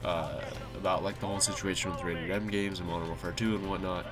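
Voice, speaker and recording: A man's voice: deep voice, fairly even intonation, fast. A young man with a cold, sounding nasal and stuffy, chatting casually over gameplay with lots of ums and restarts. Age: young adult. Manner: rambling, with many fillers and restarts. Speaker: YouTube gamer. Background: game music and sounds.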